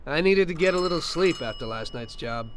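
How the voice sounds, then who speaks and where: A man playing a casual, low-key young gangster in a crime video game. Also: animated, brisk, noisy background, lightly acted. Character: laid-back young American gangster, polite but reluctant.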